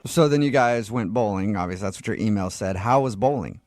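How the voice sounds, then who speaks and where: A man's voice: fairly low pitch, brisk, darkish tone. A man hosting a radio show with casual, teasing and wry banter.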